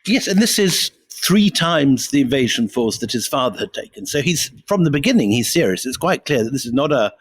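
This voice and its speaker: A man's voice: medium pitch, big pitch swings, a little fast, few pauses, no echo. Man, history podcast co-host, keen explainer, dry close mic. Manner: enthusiastic, explanatory. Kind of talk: conversational history storytelling.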